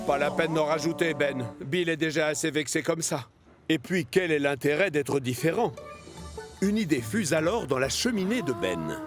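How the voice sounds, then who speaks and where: A man narrating a French children's cartoon as an expressive storyteller. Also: fairly high pitch, moderate pace, over music, lightly acted.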